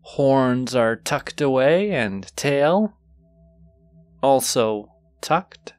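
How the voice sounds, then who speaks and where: A flirtatious male demon , acted by a man, drawls very slowly in a medium-pitched voice. He is teasing, seductive and gleefully wicked, speaking straight to the listener in an intimate audio roleplay. The sound is close and dry. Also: lively intonation, no room sound. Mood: pleased, seductive. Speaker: voice actor.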